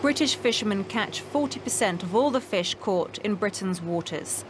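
A woman's voice: mid-range voice, lively intonation, moderate pace, a little hiss or hum. A woman reporter reading scripted narration for a news documentary. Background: background music.

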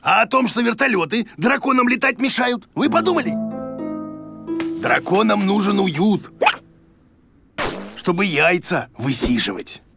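A man voicing a silly, excitable bearded creature teacher in a children's cartoon, blustering and confidently wrong. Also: high voice, measured pace, creaky, long pauses, full character voice. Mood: indignant. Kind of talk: children's educational cartoon acting. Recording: music behind the voice.

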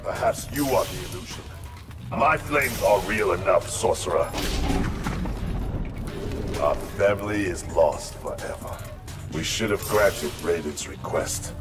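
A man's voice: deep voice, unhurried, pauses often. A man playing a stern, honor-bound ninja warrior in a video game, speaking in grave, terse, formal phrases. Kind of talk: video game character acting. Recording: loud music behind, distant sound.

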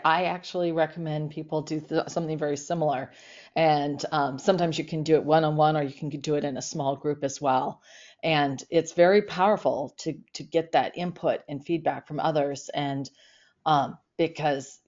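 A woman's voice: low voice, a little mellow. A middle-aged woman hosting a podcast interview over a video call, affirming and chatty with frequent ums. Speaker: podcast host. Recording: slightly dull video-call audio.

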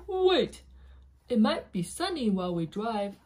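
A woman voicing an excitable, fussy elephant who loves planning, in a deliberate, emphatic picture-book read-aloud. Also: on the high side. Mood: bossy, planning. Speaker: parent reading aloud with their child. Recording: noisy home recording with clicks.

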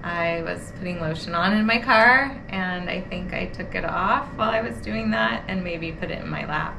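A woman retelling in casual conversation how she lost her ring, relaxed and laughing easily, in a living room. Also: lowish voice, normal speed, creaky, background noise. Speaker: guest, ring owner. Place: living room of a house, indoors.

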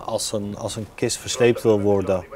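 A man's voice: on the low side, fairly even intonation, normal speed, a little mellow. A man in his thirties, an airport apron controller describing his job informally and plainly. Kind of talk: documentary interview about work. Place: glass-walled airport control tower office.

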